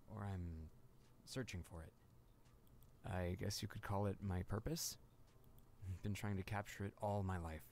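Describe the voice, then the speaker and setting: An actor voicing an earnest young male artist in a story game, speaking softly and hesitantly. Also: lowish voice, a little hiss or hum. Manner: soft-spoken, searching, hesitant. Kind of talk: game voice acting.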